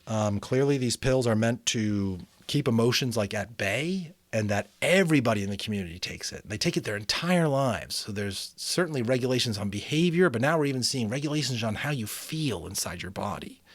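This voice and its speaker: A man giving a dramatic, expressive amateur audiobook reading with casual commentary. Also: mid-range voice, a little fast, hardly any pauses, dry recording.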